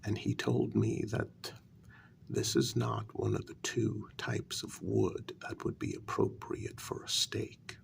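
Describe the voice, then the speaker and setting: A man performs a wounded, bitter male vampire in an intimate ASMR role-play. His voice is lowish and very creaky, slipping into hushed half-whispers as he speaks with deliberate weight. A faint noise sits behind the voice. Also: big pitch swings, heavily acted. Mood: cold, explanatory. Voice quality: gravelly. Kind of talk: soft-spoken ASMR role-play, dramatic monologue.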